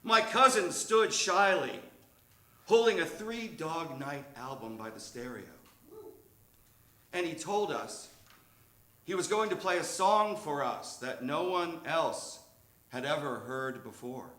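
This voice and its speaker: A man reading a comic personal story live on stage in a theatrical, measured way. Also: a little high, animated, bright, forceful tone. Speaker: reader of a humorous memoir story. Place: theatre stage before an audience. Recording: echoey live stage recording.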